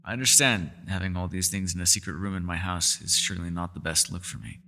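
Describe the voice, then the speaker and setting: A man's voice: low-pitched, fairly even intonation, fast talker, pushed and bright, big-room sound. A man voicing a centuries-old elf scholar in an ASMR roleplay, courteous and meticulous, with formal wording and small hesitant stammers. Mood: earnest explaining. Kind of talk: ASMR roleplay voice acting, one-sided dialogue.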